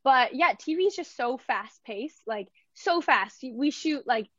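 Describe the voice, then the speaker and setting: A woman's voice: high-pitched, animated, unhurried, sharp tone, dry recording, dull audio. A woman from Vancouver, an actress, chatting upbeat in an interview, with lots of "like" and restarts. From Canada, Vancouver. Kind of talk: casual interview answers.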